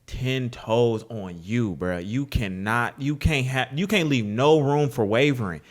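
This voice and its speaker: A man's voice: mid-range voice, a little slow. A man chatting casually as a podcast co-host, earnest and insistent in how he makes his points.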